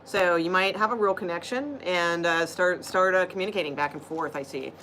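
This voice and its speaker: A woman's voice: fairly low pitch, a little fast, pushed and bright, slight background noise, rough recording. A woman doing a casual, rambling live tarot reading, laughing often and losing her voice. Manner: rambling, chatty, laughs often, frequent fillers. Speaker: tarot reader.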